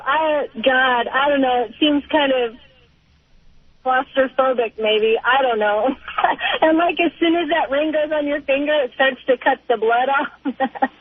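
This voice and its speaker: A middle-aged woman calling in to a radio show, chatting casually in a hesitant, rambling way. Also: sits high. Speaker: caller. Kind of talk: casual conversational phone call. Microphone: telephone. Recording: tinny narrow phone line.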